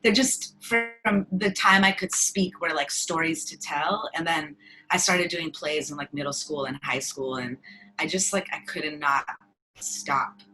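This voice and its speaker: A woman's voice: deep voice, unhurried, sharp tone, soft background music, low-quality recording. A woman whose first language is Farsi, chatting in a casual interview as a guest actor, rambling with many fillers and easy laughter. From United States.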